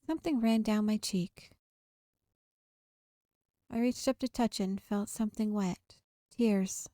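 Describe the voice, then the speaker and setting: A woman's voice: mid-range voice, takes it slowly, dry recording, studio quality. A woman reading visual novel text aloud in a storytelling manner as a gaming video host. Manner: narrative storytelling read-aloud. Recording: game music behind the voice. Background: soft game music.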